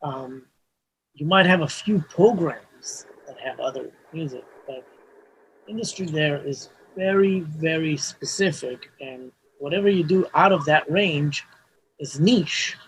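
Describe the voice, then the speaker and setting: A man's voice: fairly high pitch, unhurried, a little hiss or hum, poor audio. A man, a Hebrew speaker, talking casually as an interview guest, rambling with restarts and frequent "you know" fillers. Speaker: mastering engineer guest.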